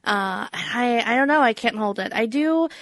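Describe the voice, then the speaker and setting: A woman comedian talks casually as a podcast guest, her voice sitting a bit high. She is loose and chatty, laughing easily between thoughts. The sound is dry and close. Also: lively intonation, moderate pace, fairly bright tone, no room sound. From Minneapolis area, USA. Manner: chatty, laughs often, many "like" fillers.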